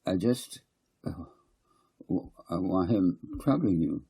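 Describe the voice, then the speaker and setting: A man voicing a kindly, indulgent, gently fussing mother in a storybook. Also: deep voice, lively intonation, slow, gravelly, breathy edge, heavily acted. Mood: cautioning.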